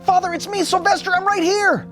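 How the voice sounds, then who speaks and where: A man's voice: very high voice, steady tone, brisk, fairly bright tone, soft background music, pitched above the performer's own voice. A man voicing a desperate, loving young donkey son in a storybook, crying out and pleading. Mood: desperate, yearning. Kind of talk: storybook character voice.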